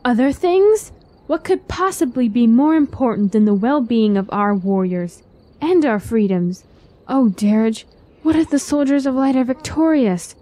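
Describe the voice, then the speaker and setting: A woman's voice: fairly high pitch, animated, measured pace, mellow. A woman playing an anxious, devoted young-world fantasy queen in a radio drama, speaking earnestly and with worry. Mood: worried. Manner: earnest, worried.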